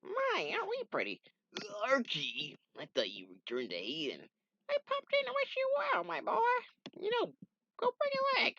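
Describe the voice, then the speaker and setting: A man voicing a wise old male fire-ant mentor with a high, quavering voice. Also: wildly swooping pitch, normal speed, gravelly, bright, forceful tone, dull audio, slight background noise, exaggerated voice. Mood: fond. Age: older.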